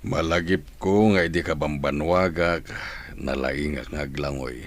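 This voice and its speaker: A man playing a lonely, stubborn elderly father and master of the house in a radio comedy-drama, with a gravelly voice. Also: very deep voice, unhurried. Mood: wistful.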